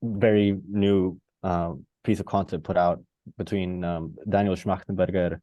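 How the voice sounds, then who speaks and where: A man's voice: low-pitched, little pitch movement, a little slow, dry recording, lo-fi sound. A man from Costa Rica speaking in an online discussion. He thinks aloud and wanders, with lots of fillers and restarts. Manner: rambling and searching, many fillers and restarts. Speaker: participant. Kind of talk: reflective discussion on a video call. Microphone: video call.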